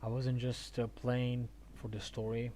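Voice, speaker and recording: A male game streamer from Argentina talks casually in a medium-pitched voice. He rambles and thinks aloud, often doubling back on his words. There is slight noise behind him. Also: completely flat delivery, measured pace, warm tone. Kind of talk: casual rambling stream chat about games.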